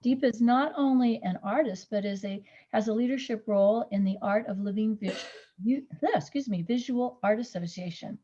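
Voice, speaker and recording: A woman's voice: on the high side, moderate pace, highs cut off. A woman hosting an art panel, encouraging the speakers and affirming them often. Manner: encouraging, many soft affirmations. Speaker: panel host. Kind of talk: moderating a panel.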